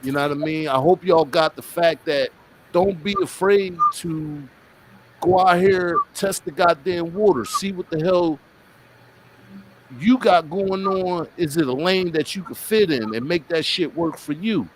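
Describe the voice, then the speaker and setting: A man's voice: a little high, measured pace, noisy background. An American man hosting a podcast, chatting casually in an emphatic, informal way with some swearing. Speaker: podcast host. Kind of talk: casual interview chat.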